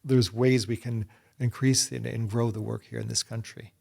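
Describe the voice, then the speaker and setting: Earnest man with a gravelly voice. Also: medium pitch, level tone, normal speed, darkish tone. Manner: earnest, frequent restarts and repeated words. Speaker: guest, Bible society secretary. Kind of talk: conversational interview answers.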